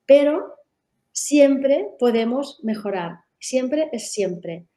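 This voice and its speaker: Woman, middle-aged, webinar talk, mellow voice, fairly high, explanatory with tag questions. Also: expressive, drawn-out words. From Catalonia. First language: Catalan. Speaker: method founder presenting in a webinar. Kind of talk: webinar presentation.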